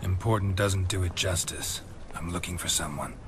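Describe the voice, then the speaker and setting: An actor playing a stoic, battle-worn monster hunter, terse and dryly witty, in a fantasy video game. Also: male voice, sits low, normal speed, a crowd in the background, natural voice. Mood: matter-of-fact. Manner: terse, deadpan. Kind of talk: dramatic video game dialogue.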